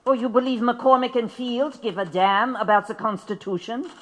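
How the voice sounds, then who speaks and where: An actress playing a sarcastic, hard-headed radical activist in an audio drama. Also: female voice, a little high, steady tone, normal speed, natural voice. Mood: sardonic. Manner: dry, sarcastic. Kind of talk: radio drama acting.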